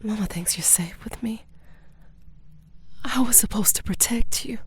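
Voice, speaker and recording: A woman playing a protective, troubled elder sister in an audio drama, speaking in a hushed, pained way. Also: a little high, measured pace, breathy edge, no echo, whispered, studio quality. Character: protective, troubled elder sister, small-town police chief. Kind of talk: naturalistic audio drama acting.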